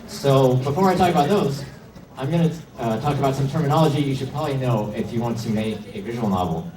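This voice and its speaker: A man's voice: mid-range voice, fast. A man giving an informal, slightly hesitant slide presentation to an audience as a panel speaker. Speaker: convention panel presenter, indie game developer. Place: indoor convention panel room. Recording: echoey room, distant mic, heavy noise.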